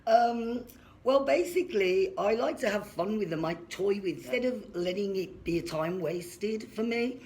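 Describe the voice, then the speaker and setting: A woman's voice: medium pitch, animated, normal speed, darkish tone. A woman guest on a talk show, chatting casually about herself and laughing between lines. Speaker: guest, entrepreneur and YouTuber. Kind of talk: casual interview chat.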